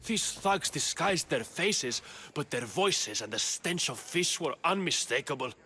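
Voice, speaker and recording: An actor playing a theatrical, indignant and easily frightened Athenian politician in a video game. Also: male voice, a little high, very animated, measured pace, bright, forceful tone, slight background noise, heavily acted. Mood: aggrieved. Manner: agitated, theatrical, wordy.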